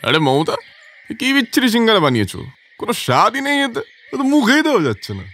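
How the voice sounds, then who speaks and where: Cartoon customer, male performer: blunt complainer, pitched up, quick delivery. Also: wildly swooping pitch, fairly bright tone, full character voice. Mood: complaining. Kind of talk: cartoon story voice acting.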